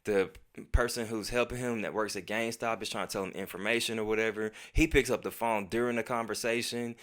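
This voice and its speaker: A man's voice: fairly low pitch, a little fast. American man telling a profane story. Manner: profane, slangy, animated retelling. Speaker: storytelling vlogger. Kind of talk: casual personal storytelling with acted-out quotes.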